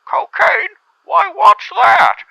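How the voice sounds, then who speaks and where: A man voicing an excitable, naive young in a gee-whiz 1950s radio advert parody. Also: very high voice, drawn-out words, gravelly, dry recording, voice pushed up, heavily acted. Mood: eager, amazed. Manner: gee-whiz, over-eager. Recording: dull vintage radio sound.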